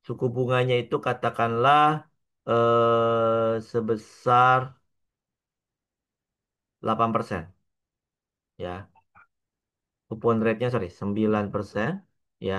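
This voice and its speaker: An Indonesian man speaks as an accounting lecturer at a middling pitch. He explains step by step in short, halting pieces, slipping English terms into his speech and often tagging phrases to check that listeners follow. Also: little pitch movement, fairly bright tone, clean tone. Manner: halting delivery, mixing in English terms, frequent checking tags.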